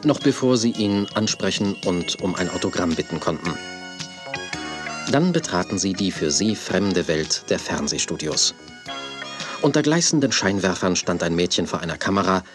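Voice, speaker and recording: A man's voice: low voice, animated, moderate pace. A man narrating a scripted audio drama for children as the storyteller. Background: music.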